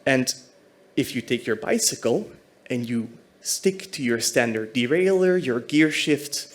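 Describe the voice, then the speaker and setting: A man's voice: mid-range voice, animated, measured pace. A man from Belgium giving a conference talk as a senior technology architect, explaining fluently.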